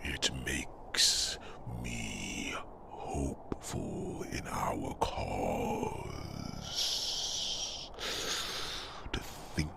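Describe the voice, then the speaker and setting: A man playing a gentle, solemn old dragon lord in an ASMR fantasy roleplay, with a breathy rumble and halting, grave delivery. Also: animated, exaggerated voice. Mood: quietly hopeful.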